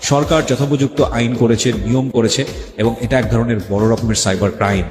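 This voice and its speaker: A man with a Bangladeshi accent hosting a radio talk show, speaking deliberately and emphatically to listeners and a guest. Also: medium pitch, fairly even intonation. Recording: echoey reverb, background music. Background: music.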